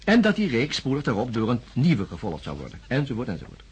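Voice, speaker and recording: An actor playing a courteous but persistent, shrewd psychiatrist in a radio drama, questioning politely. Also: male voice, mid-range voice, huge pitch swings, breathy edge, highs cut off, full character voice. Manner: polite, measured, insistent questioning. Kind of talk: science fiction radio drama acting.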